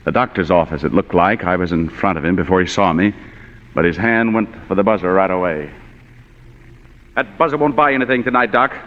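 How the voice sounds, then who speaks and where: A man's voice: sits low, fairly quick, lightly acted. An actor playing a tough, wisecracking male private detective in 1940s radio noir, with sardonic, clipped delivery. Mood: weary, hardboiled. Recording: muffled vintage radio recording with music.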